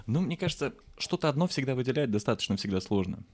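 A man's voice: mid-range voice, expressive, fast talker. A man hosting an informal podcast conversation, musing aloud in a reflective, tentative way as he poses questions and gives opinions. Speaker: podcast host posing questions. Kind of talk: casual chat.

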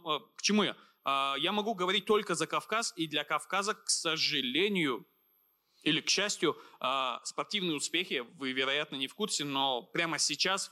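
A man in his thirties from Dagestan, a writer and guest, speaking earnestly and thoughtfully in an interview, pausing with fillers. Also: a little high, sharp tone. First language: Avar. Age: 30s. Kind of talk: reflective interview conversation.

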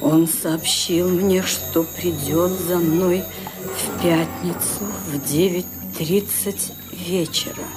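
Old widow lady in a radio play, lowish voice, slow and grave, bossy. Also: steady tone, music in the background. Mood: grave, deliberate. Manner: grave, deliberate, imperious. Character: frightened, commanding elderly widowed lady of the house. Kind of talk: radio drama acting.

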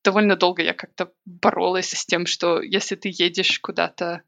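Gravelly-voiced woman, reflective. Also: on the low side, little pitch movement, fairly bright tone. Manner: reflective, thinking aloud with pauses. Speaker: podcast guest. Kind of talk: casual interview talk. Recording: dull lo-fi recording.

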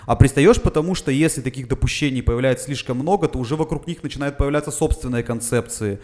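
A man's voice: mid-range voice, fast, a little mellow. A man, a fitness coach, talking casually on a podcast, explaining things step by step with frequent "uh" fillers. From Urals, Russia. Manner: methodical explainer with filler sounds.

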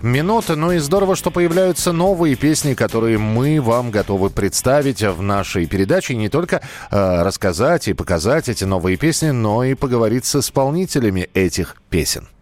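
A man's voice: mid-range voice, expressive, fairly quick, hardly any pauses, a little mellow. A man hosting a radio chart show, talking in an easy conversational way with hesitant fillers. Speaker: radio host. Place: radio studio.

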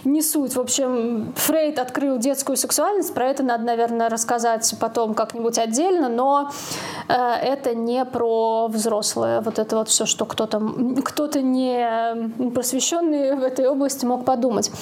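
A woman with a high voice talks in a frank, colloquial, joking style, like a co-host sharing stories in casual discussion. There is faint noise behind her. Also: fairly even intonation, moderate pace, a little mellow. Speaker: podcast co-host. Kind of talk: casual discussion with personal anecdotes.

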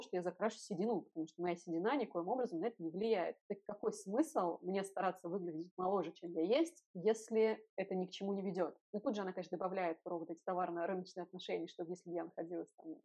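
A woman's voice: fairly low pitch, quick pace, few pauses, mellow, slightly rough. A woman co-hosting a podcast in casual discussion, arguing her opinions in a reasoned way with rhetorical questions. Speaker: podcast co-host.

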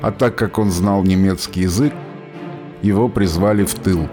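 A man's voice: low-pitched, moderate pace, over music. A man giving scripted dramatic narration for a radio play.

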